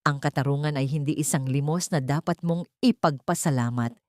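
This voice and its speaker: A woman voicing a calm, protective male prosecutor who speaks gently in an audio drama story. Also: sits low, a little fast, no echo, studio quality, pitched below the performer's own voice, lightly acted. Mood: steady, earnest.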